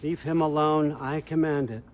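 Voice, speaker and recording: A man's voice: on the high side, steady tone, moderate pace, lightly acted. An older actor playing a scheming, commanding old father god in a video game, imperious and clipped, with noise behind. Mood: commanding, irritated. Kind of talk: dramatic video game acting.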